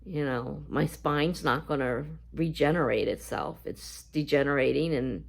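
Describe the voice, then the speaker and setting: A woman with a scratchy voice talking casually to her viewers in a vlog, halting and full of fillers. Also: low voice, gravelly. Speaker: YouTuber. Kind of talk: casual talk to camera.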